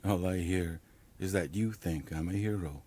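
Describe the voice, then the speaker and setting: Low-voiced roguish raider. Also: male voice, moderate pace, warm tone, breathy edge. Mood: cocky, teasing. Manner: laconic, wry, swears. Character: roguish, cocky male raider and smuggler, hardened survivor. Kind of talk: naturalistic audio drama acting.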